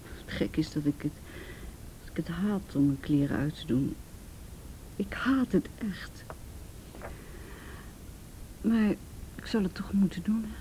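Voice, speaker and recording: A woman playing an ashamed, abused teenage girl in a radio drama, halting and repeating herself, often sinking to a breathy whisper. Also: fairly low pitch, expressive, warm tone, slight background noise, low-quality recording. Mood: ashamed, reluctant. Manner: halting, repeats words, tag questions. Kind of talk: naturalistic radio drama acting.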